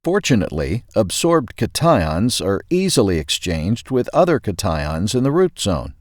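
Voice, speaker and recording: A man narrates a scripted article in a lowish voice with lively intonation. He takes his time and articulates each point in an explanatory way. The recording is dry and close. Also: moderate pace, dry recording. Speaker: host reading an audio magazine article.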